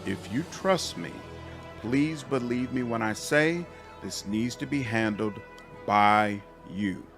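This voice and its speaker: A no-nonsense woman officer in a fantasy game, acted out by a man. Delivery is measured, earnest and insistent, in a middling male-range pitch, with music playing underneath. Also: a bit bright. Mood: earnest pleading.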